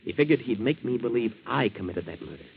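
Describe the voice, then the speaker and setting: An actor playing an earnest, plain-spoken young American boat hand in a 1940s radio drama. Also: male voice, mid-range voice, lively intonation, fast talker, creaky, husky. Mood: earnest explaining. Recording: muffled 1940s radio broadcast.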